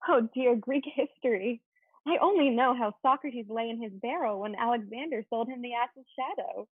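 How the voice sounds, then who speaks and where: A woman's voice: on the high side, normal speed, breathy edge, no echo. A woman playing an opinionated, scornful teenage schoolgirl in a dramatic reading, on a thin, phone-like recording. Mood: scornful, gossipy. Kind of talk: dramatic reading of a period play. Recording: thin phone-like sound, very dry.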